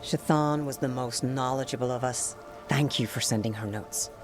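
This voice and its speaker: An actor playing a Qunari scholar and translator, grave and formal. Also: female voice, extremely low pitch, normal speed. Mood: solemn. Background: music.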